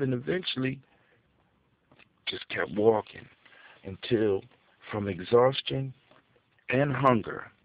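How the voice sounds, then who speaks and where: A man's voice: mid-range voice, gravelly. A man telling a folktale off the cuff for grown-up listeners, dramatic and emphatic, repeating phrases for effect. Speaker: storyteller. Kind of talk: improvised oral folktale telling for adults. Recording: echoey, muffled phone-like audio.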